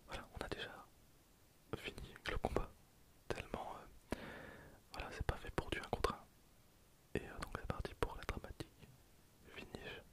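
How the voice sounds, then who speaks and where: A man's voice: normal speed, long pauses. Whispering man doing ASMR game commentary. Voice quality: whispered, breathy. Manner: casual, informal. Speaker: gaming video creator.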